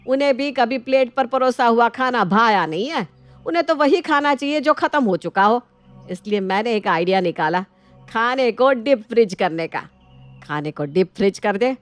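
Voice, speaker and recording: A woman's voice: fairly high pitch, no room sound, lightly acted. A woman voicing an older, shrewd mother-in-law in a family story, with a scolding, commanding manner.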